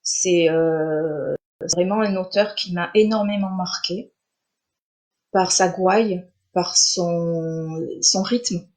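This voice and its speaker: A middle-aged woman born in Armenia, an author chatting on a live stream, speaking with many pauses, fillers and restarts. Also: on the low side, a little mellow. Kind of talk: casual live-stream interview.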